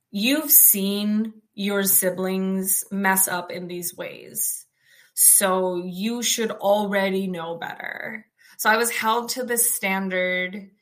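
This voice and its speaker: Young woman from the US, loud and outspoken, chatty personal storytelling with lots of fillers. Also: mid-range voice. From United States. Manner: chatty, frequent fillers and restarts.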